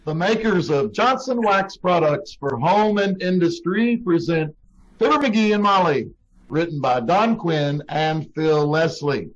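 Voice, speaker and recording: A man's voice: on the high side, expressive, a little slow. A man reading a scripted commercial in the style of a 1940s radio announcer, over a video call. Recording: dull, lo-fi video-call sound.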